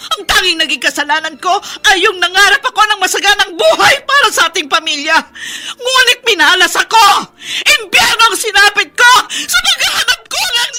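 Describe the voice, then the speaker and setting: Anguished wife, high swooping voice. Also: female voice, lively intonation, moderate pace, pushed and bright, full character voice. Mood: anguished, defiant. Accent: Filipino. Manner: tearful, melodramatic delivery. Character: anguished wronged wife and mother, pleading her innocence. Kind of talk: Filipino radio drama acting.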